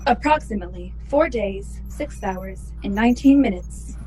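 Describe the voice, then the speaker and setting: Music plays clearly behind a formal female ship's computer acted by a woman. The voice is mid-pitched, measured and precise, an obedient artificial mind in a sci-fi radio drama. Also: expressive, mellow, lightly acted, low-quality recording. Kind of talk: sci-fi radio play acting.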